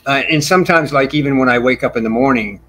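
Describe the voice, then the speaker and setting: A man in his sixties, a bassist guest telling long rambling stories in a casual interview over a video call from a small home room. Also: fast talker, fairly bright tone. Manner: talkative, rambling storyteller. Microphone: video call with desk mic.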